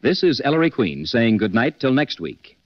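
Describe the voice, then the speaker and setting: An actor playing a confident, polished gentleman detective who hosts a 1940s radio mystery. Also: medium pitch, big pitch swings, dry recording, soft background music, close to an everyday voice. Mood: formal sign-off. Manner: polished, deliberate. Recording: dull vintage 1940s radio recording.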